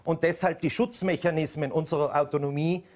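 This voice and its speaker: A man in his forties argues his case firmly in a televised studio panel, speaking into a lapel mic. His voice sits a bit high for a man. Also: steady tone, brisk, a little mellow. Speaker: election candidate. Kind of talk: TV panel debate answer.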